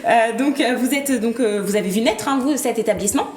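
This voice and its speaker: Higher-voiced female presenter in a roomy studio. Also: brisk, a little mellow. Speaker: TV presenter introducing guests. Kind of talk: studio interview.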